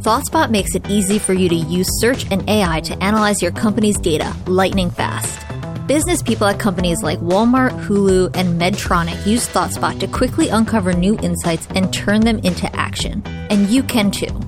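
A woman's voice: mid-range voice, normal speed. Woman announcer over music. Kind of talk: scripted sponsor read.

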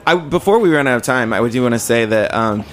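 A man's voice: medium pitch, animated, fast. Male panel guest, mid-range voice, informal conversational delivery. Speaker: panel guest, comic songwriter. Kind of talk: casual chat. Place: radio studio.

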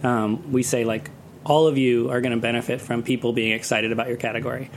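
A man's voice: medium pitch, fairly even intonation, fairly quick, slight background noise. A man speaking as an interview guest, casually explaining his work in a chatty way with plenty of "like". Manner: chatty, uses "like" often. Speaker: guest, cocktail festival organizer. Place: radio studio.

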